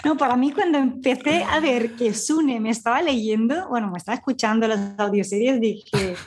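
A woman's voice: fairly high pitch, normal speed, a little mellow, slight background noise. A woman with a Spain Spanish accent telling her story as an interview guest, in an informal, rambling way that often doubles back on itself. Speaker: guest, audio fiction scriptwriter.

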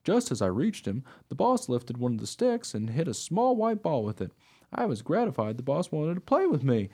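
A man's voice: a little high, big pitch swings, normal speed, mellow. A man playing an eager, naive mongrel dog who tells his own story in a radio play. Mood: earnest storytelling. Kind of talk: first-person radio play narration.

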